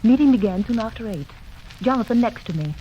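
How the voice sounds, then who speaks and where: Lady doing precise young wife in old radio drama, rapid businesslike delivery, dull slightly noisy recording. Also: fairly high pitch, lively intonation, natural voice. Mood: brisk, formal. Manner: clipped, businesslike. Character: brisk, precise young wife reading meeting minutes. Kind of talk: 1930s radio drama narration.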